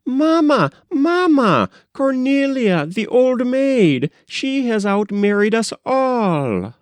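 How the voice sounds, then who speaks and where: Excitable young society sister, man in falsetto: very high, shrieky storybook character bursting with gossip. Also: slow pace, clean tone, no echo, exaggerated voice. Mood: shrieking. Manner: screaming, breathless gossip.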